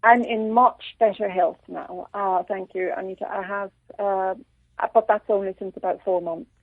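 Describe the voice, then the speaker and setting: A woman from Switzerland with a slight Irish accent, a radio interview guest who talks haltingly with many pauses and restarts. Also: medium pitch, steady tone, no echo. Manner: halting, full of ums and restarts. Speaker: guest author. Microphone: telephone. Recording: tinny phone line.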